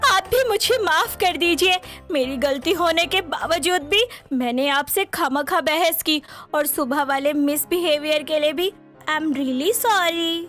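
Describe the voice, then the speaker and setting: A woman voicing a headstrong, whiny young wife in an animated moral story. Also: sits high, slow pace, soft background music. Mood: apologetic. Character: sulky, headstrong young wife who loves mangoes.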